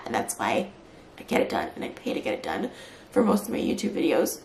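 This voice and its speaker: Tired, hurt woman vlogging, gravelly voice. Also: fairly low pitch, echoey room. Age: 30s. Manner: tired, hurt and fast-talking, with many "like" fillers. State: short on sleep, near tears later. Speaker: YouTuber. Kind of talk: emotional response vlog.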